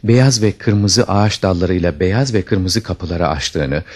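Very deep, husky male storyteller. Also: unhurried, darkish tone. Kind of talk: scripted fairy tale narration.

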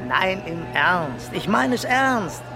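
A man's voice: sits high, big pitch swings, slow pace, creaky, music in the background, exaggerated voice. An actor playing a wry, genial male crime novelist in a German radio drama, speaking in a conversational, teasing way. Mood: dry, wry.

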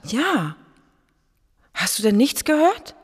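An actress playing a hesitant, unsure neighbour giving a tentative witness account in a radio drama. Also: female voice, fairly high pitch, very animated, takes it slowly, breathy edge, heavily acted. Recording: long reverberant room.

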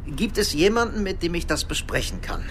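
A man's voice: sits high, expressive, brisk, fairly bright tone, slight background noise. A man acting a weary middle-aged businessman in a naturalistic radio drama, politely insistent.

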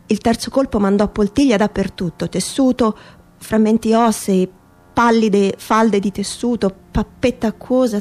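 A woman reads literary prose as radio theatre, in a measured, phrase-by-phrase delivery. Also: mid-range voice, a little mellow. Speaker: stage narrator, reader.

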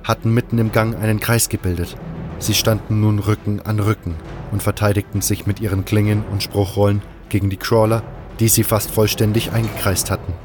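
A man's voice: on the low side, level tone, fairly quick, music in the background. A man narrating a scripted first-person fantasy audio drama.

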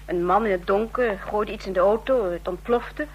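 A woman's voice: medium pitch, moderate pace, slightly rough, natural voice. A woman acting in a Dutch radio play as a polite, evasive office secretary. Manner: polite, deflecting. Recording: noisy, humming old radio recording.

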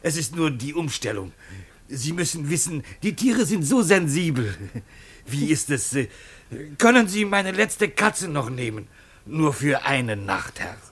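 A courteous animal showman who has lost nearly everything. His voice is fairly high, sharp and raspy. He implores in florid, deferential radio play style. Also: big pitch swings, unhurried, exaggerated voice. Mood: imploring, grateful. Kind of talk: German radio play acting.

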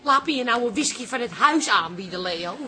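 A woman's voice: on the high side, lively intonation, fairly quick, bright, forceful tone. An actress playing a contrite, fretful pub landlady with a plaintive manner in a radio comedy-drama. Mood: pleading.

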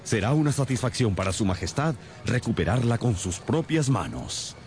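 Un actor que interpreta a un detective brillante, formal y preciso en una radionovela. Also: male voice, mid-range voice, big pitch swings, normal speed, a little mellow, slight background noise. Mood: confident. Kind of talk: radio drama acting.

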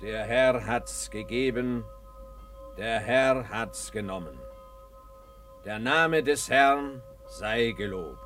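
An actor playing a stern, solemn preacher declaiming scripture in a 1950s German radio drama. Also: male voice, medium pitch, expressive, a bit bright, soft background music. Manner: slow, declamatory.

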